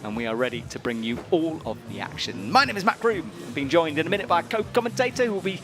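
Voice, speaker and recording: A man's voice: fairly high pitch, very animated, fast talker. A man presenting live sports commentary in an emphatic, conversational way. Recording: music behind the voice. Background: music.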